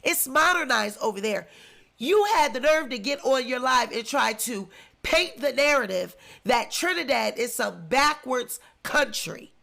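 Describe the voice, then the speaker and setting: A woman's voice: on the high side, lively intonation, measured pace, vocal fry, bright, forceful tone. A congested woman with the flu giving indignant, emphatic celebrity commentary in a vlog. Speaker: commentary vlogger.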